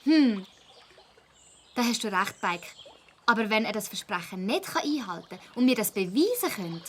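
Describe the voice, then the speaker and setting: A woman's voice: medium pitch, animated, normal speed, a little hiss or hum, lightly acted. A woman playing an optimistic, determined girl in a children's audio drama, with a Swiss accent and an eager, persuasive manner. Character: optimistic, determined young girl among adventuring friends.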